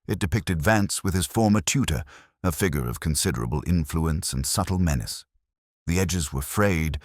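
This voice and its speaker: A man reading a scripted literary detective story in the first person, with a measured, formal, reflective delivery. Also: rumbling low, darkish tone, no echo, studio quality. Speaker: audiobook-style detective story narrator.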